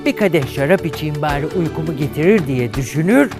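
A man's voice: on the high side, lively intonation, fairly quick. A man hosting a comedy show, telling a bawdy fairy tale in a campy, flamboyant way. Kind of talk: bawdy comic storytelling to a studio audience. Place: TV studio with live audience. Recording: studio audience laughter and music behind.

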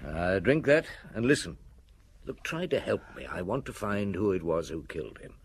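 Patient, low-voiced detective chief. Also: male voice, expressive, slight background noise. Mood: gently coaxing. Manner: measured, probing, with thoughtful hums and pauses. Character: patient, shrewd middle-aged male police chief inspector. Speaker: actor in radio drama. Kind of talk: radio drama acting.

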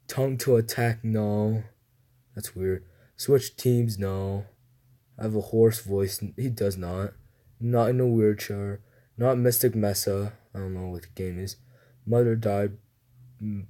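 A young man reading guessing-game questions aloud and answering them in a low-key, mumbled way, recorded on a phone. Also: steady tone, a little slow, warm tone. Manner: mumbled, low-key answers, livelier when starting a new round. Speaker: gamer playing a guessing game. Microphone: phone screen recorder.

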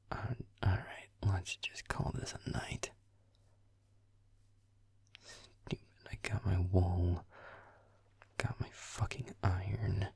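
A man playing a grumpy, foul-mouthed young werewolf boyfriend, muttering sullenly in a breathy, gravelly whisper in an intimate roleplay audio. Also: deep voice, darkish tone, heavily acted. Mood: grumbling, weary. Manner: sullen muttering, swearing, sarcastic.

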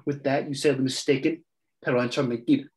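A man's voice: medium pitch, fairly even intonation, a little fast, a little mellow, lightly acted. A young man reading the part of a taunting, vengeful Venezuelan gunman in a play over a video call. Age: young adult. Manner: taunting, slips into Spanish. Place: room at home. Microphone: computer mic on video call.

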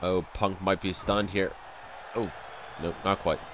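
A young man with a stuffy nose doing excitable play-by-play commentary over a wrestling video game, breaking into loud exclamations, recorded at home on a desk mic. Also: sits low, measured pace, noisy background. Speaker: gameplay commentator.

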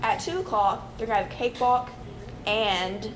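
A woman's voice: mid-range voice, fairly even intonation, normal speed, background noise. A young woman reading fair event times in a conversational scripted skit.

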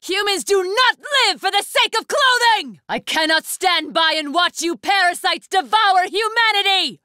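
A woman's voice: high voice, sharp tone, no room sound, studio quality, full character voice. An actress playing an imperious, ruthless young woman warrior, speaking in formal, haughty declamations for an anime fighting game. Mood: furious, defiant.

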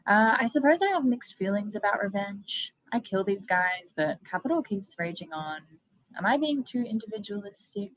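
A woman's voice: mid-range voice, animated, moderate pace, dry recording, muffled recording, pitched above the performer's own voice, lightly acted. A woman voicing a chatty, bloodthirsty water-horse monster in a comic reading, breezy and friendly. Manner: breezy and friendly, turns menacing.

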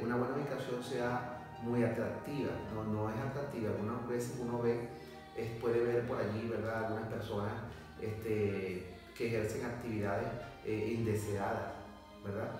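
A Venezuelan man in his forties, a pastor and former doctor, giving a testimony interview in a measured, hesitant way with many fillers. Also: medium pitch. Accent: Venezuelan Spanish. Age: 40s. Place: indoor room, seated on sofa. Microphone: far camera. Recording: echoey room from a distance, music behind.

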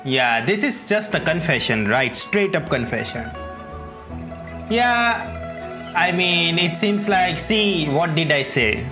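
A game streamer giving casual, wry playthrough commentary in halting, muttered asides. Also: medium pitch, expressive. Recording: game music behind the voice. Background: game music.

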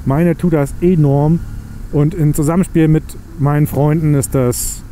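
A man's voice: fairly high pitch, fairly even intonation, a little slow, dark tone. A young man, chatting casually and rambling as he rides his motorcycle through the city. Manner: rambling, chatty and self-mocking. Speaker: motovlogger. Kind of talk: casual chat while riding. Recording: faint engine and road noise.

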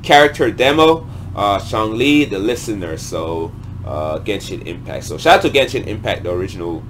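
Young male reaction host. Lowish, expressive, informal and slangy, casual chat. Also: normal speed, slight background noise. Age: young adult. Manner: informal, slangy, peppered with "man". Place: small plain room indoors.

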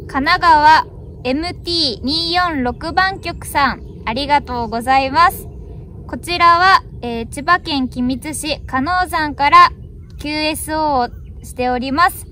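A woman's voice: high voice, a little slow, pushed and bright, a little hiss or hum. A woman in her twenties making polite, formally worded radio contact calls outdoors. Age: 20s. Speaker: amateur radio operator. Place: outdoors on a hillside roadside.

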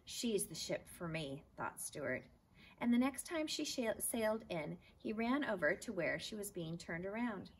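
A woman's voice: medium pitch, sing-song, normal speed. A woman reads a children's chapter book aloud expressively, outdoors. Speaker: teacher reading aloud. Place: outdoors in a backyard garden. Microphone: camera a few feet away. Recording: faint outdoor background noise.

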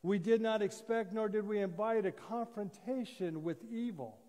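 A man in his sixties preaching a sermon as a pastor, solemn and deliberate, with pauses and repetition. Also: high voice, mellow. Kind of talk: sermon, measured oratory. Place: church sanctuary, indoors at a lectern. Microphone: gooseneck lectern microphone. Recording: reverberant church hall sound.

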